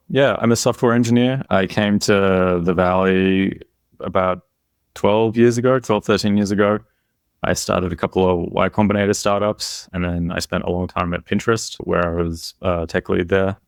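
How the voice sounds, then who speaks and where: A man's voice: low voice, steady tone, moderate pace, gravelly, clear, resonant tone, dry recording, studio quality. A man in his thirties with an Australian accent, chatting casually as a podcast guest. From Australia and Singapore. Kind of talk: casual interview chat.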